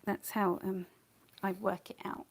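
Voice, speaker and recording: A woman's voice: mid-range voice, flat delivery, measured pace, vocal fry, breathy edge. A woman losing her voice, teaching watercolour painting live in a casual, rambling way and often muttering to herself as she works. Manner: chatty, rambling, thinks aloud, often mutters under her breath.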